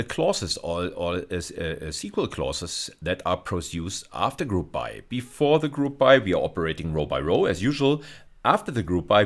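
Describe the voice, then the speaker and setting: A man teaches in the manner of a university lecturer. He explains technical material step by step, repeating key phrases to stress them. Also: low voice. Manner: didactic, repeats phrases for emphasis.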